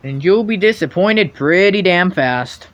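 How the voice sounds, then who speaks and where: A man's voice: high voice, animated, a little slow. A man giving sarcastic, nitpicking comedic commentary on video game cutscenes.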